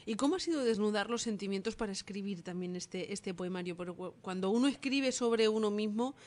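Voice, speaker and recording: A woman's voice: medium pitch, brisk, a little mellow. A woman radio host presenting and interviewing a phone guest in an announcer style. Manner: announcer-style, poised questions.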